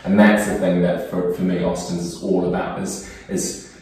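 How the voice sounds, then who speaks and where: A man's voice: sits low, flat delivery, normal speed, a little mellow. A young man who grew up speaking Cantonese, talking thoughtfully and with enthusiasm as a perfume brand co-founder in an office interview. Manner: thoughtful, enthusiastic, some restarts. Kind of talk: conversational interview. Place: office room. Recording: echoey room, distant mic.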